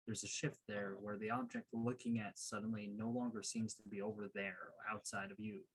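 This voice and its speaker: A man leading a guided meditation as a coach, giving measured, instructive directions in a husky voice. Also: lowish voice, low-quality recording.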